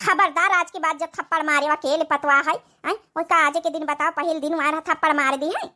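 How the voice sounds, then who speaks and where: A woman as a high-voiced, fussy village mother-in-law. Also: fairly even intonation, fairly quick, bright, forceful tone. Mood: placating. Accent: Awadhi. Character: fretful, conciliatory village mother-in-law, middle-aged. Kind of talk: comedy cartoon dialogue.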